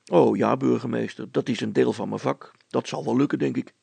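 A man voicing a blunt, curious handyman in an audiobook, with a gravelly voice and a questioning manner. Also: medium pitch, fairly quick, darkish tone, no room sound, voice pushed down. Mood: puzzled, obliging. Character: plain-spoken, curious handyman, eager male helper. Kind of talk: acted audiobook dialogue.

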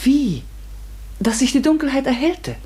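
A woman's voice: high voice, big pitch swings, a little slow, mellow, breathy edge, full character voice. An actress playing an earnest, inquisitive and easily bewildered woman in a radio play, speaking tentatively. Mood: eager, puzzled. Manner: tentative, questioning. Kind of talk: German radio play acting.

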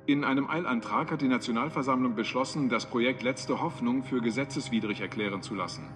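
Small role: male news announcer played by an actor, formal, brisk, mid-range pitch, clear music bed. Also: natural voice. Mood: formal, urgent. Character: formal, impersonal male radio newsreader. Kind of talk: news bulletin within radio drama. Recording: news bulletin with music underneath.

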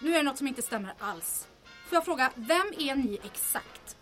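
A woman playing a sharp, suspicious secretary in an audio drama. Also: high-pitched, animated, faint music behind. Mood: suspicious, insistent.

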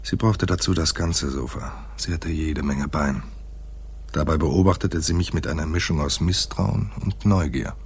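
A man's voice: a bass voice, normal speed, gravelly, breathy edge, full character voice. An actor playing a laconic, shrewd private detective in a radio play, dry and slangy, telling his case like noir. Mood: dry narration. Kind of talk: 1980s German radio play, noir dialogue and narration.

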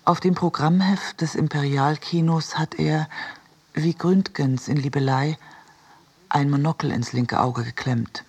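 A reader delivering a scripted literary essay for radio in a measured, deliberate way. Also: mid-range voice, steady tone, darkish tone, breathy edge.

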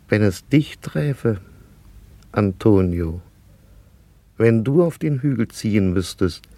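Male flower seller, unhurried, ponderous, wry, close dry sound, radio play. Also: lowish voice, mellow, no echo. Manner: slow, ponderous, deliberate. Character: slow, wry, munching street flower seller. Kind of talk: German radio play acting.

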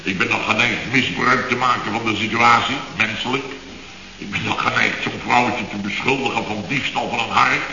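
An actor playing a flustered, excitable building contractor boss in a radio comedy serial, blustering indignantly and repeating himself. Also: male voice, fairly low pitch, moderate pace, gravelly, crowd noise. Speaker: construction firm boss. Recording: hissy, dull, echoey old radio recording.

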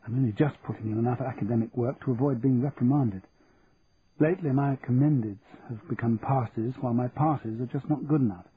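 A man's voice: mid-range voice, fairly quick, narrow, tinny audio, a little hiss or hum, lightly acted. A man voicing a witty, frank young female student with a wry manner in a story reading. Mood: wry, rueful.

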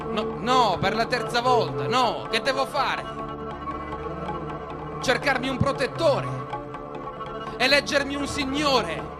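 A man's voice: very high voice, heavily acted. An actor playing a proud, hot-tempered swordsman-poet, declaiming theatrical verse with insistent rhetorical questions in a radio play. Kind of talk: stage-style radio theatre verse monologue. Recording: loud music under the voice. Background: music.

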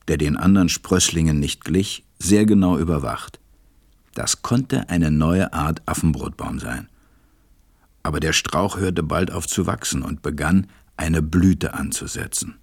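An actor playing a gentle, reflective storyteller, narrating a storybook radio play. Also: male voice, low voice, a little slow, gravelly, slightly rough, no echo, clean studio sound, heavily acted. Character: reflective adult male narrator recalling a little friend.

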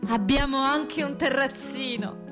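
An actress as a slow, high-voiced, wry woman inmate. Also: fairly even intonation, over loud music. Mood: wry, playful. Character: wry, wistful woman inmate showing off her cell. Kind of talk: theatrical radio monologue.

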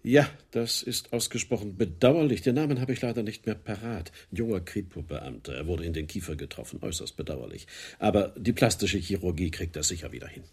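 Radio drama part: self-satisfied police boss, formal, officious, deep and a little raspy. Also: male voice, expressive, fairly quick, a little mellow, heavily acted. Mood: blandly regretful. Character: smug police chief, smooth and officious. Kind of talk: radio play acting.

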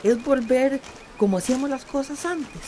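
A woman's voice: high voice, normal speed, mellow, natural voice. An actress playing a spirited, folksy older grandmother devoted to nature in a radio drama. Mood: tender, glad. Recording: faint background noise.

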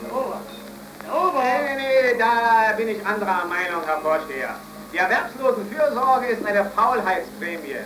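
An actor playing a smug, opinionated pub regular, pompous and disapproving, in a 1930s radio drama. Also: male voice, high-pitched, lively intonation, moderate pace. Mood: smug, indignant. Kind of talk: 1930s German radio play acting. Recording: roomy 1930s radio recording with music. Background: music.

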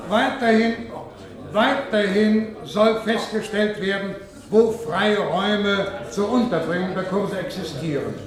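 Radio play: officious senior director, high voice for a man, formal bureaucratic address, echoey room. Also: unhurried, crowd noise. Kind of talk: German radio play acting. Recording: echoey hall sound.

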